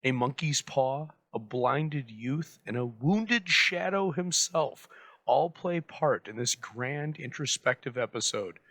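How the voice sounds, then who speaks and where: A man giving a measured, scripted introduction as the host of a vintage radio series. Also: medium pitch, animated, fairly bright tone, no room sound. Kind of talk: scripted narration.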